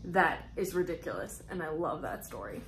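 Expressive woman reading to children, noisy recording. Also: sits low. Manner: expressive, drawn-out emphasis on key words. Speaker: children's storyteller and host. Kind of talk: animated picture-book read-aloud for young children.

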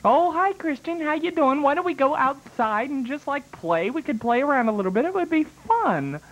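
A woman's voice: high-pitched, animated, normal speed, low-quality recording. A woman playing a pushy, bratty kid in an improvised comedy sketch, rambling and wheedling. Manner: rambling, sing-song, wheedling.

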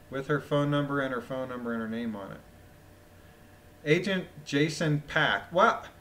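A man with a phlegmy voice reading a document aloud with casual, incredulous, mocking commentary, often clearing his throat. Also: mid-range voice, a little slow, pauses often, a bit bright, slight background noise. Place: voice-over of a screen recording.

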